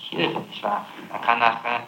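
A man working as a prosecutor questions a suspect in a halting, insistent way, often tagging statements with a question. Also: medium pitch, gravelly. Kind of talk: spontaneous interrogation. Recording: noisy, echoey archival interrogation tape.

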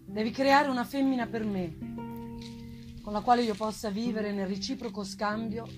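A woman's voice: fairly high pitch, fairly even intonation, moderate pace, a little mellow, lightly acted. A woman voicing a wretched, vengeful male monster, pleading eloquently in a solemn, theatrical gothic reading. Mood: bitter, threatening. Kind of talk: dramatic gothic novel reading. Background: live plucked guitar music.

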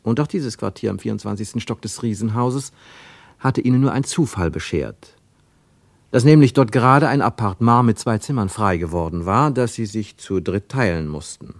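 A man narrating a radio play travel tale in a measured, gently ironic way. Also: fairly low pitch, lively intonation, no echo. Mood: wry, measured. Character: wry third-person storyteller of a travel tale.

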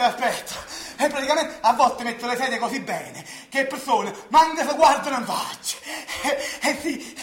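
An actor playing an anxious, excitable young Neapolitan worker in a radio drama, rambling and repeating himself as he counts money. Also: very high voice, echoey room. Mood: rueful, boastful. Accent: Neapolitan, southern Italian. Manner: rambling, agitated, repetitive. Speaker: stage actor. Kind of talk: theatrical radio drama monologue and dialogue.

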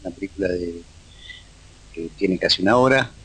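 A man speaking haltingly as an interview guest in casual conversation. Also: deep voice, a bit bright, slightly rough, low-quality recording. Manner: halting, hesitant phrasing.

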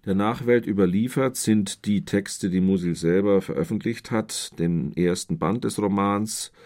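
Male literary scholar, very low register, measured explanatory delivery in long sentences. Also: darkish tone. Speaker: literary scholar, expert commentator.

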